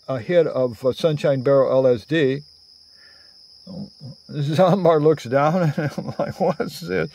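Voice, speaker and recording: Storyteller, male, 60s. Slow, halting, digressive and theatrical delivery, slightly high pitch, improvised to a phone camera. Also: darkish tone, dry recording. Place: outdoors under cover among greenery. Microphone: phone at arm's length.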